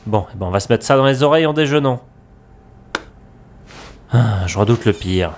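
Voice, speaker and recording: A man's voice: mid-range voice, expressive, moderate pace. A middle-aged man acting a grumpy, sarcastic forum administrator in a comic audio drama. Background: background music.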